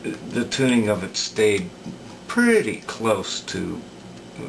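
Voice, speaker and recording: A man giving unscripted workbench commentary as a repair hobbyist, hesitant and halting as he thinks aloud. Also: fairly low pitch, wildly swooping pitch. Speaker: radio repair hobbyist demonstrating work. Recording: clear background noise.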